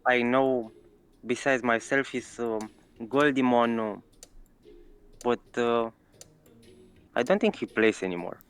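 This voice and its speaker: A man with a Romanian accent answers interview questions slowly, pausing often and adding dry humor. His voice is smooth and clear. English is not his first language. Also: mid-range voice, fairly even intonation. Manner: slow, halting, dry humor. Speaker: guest player.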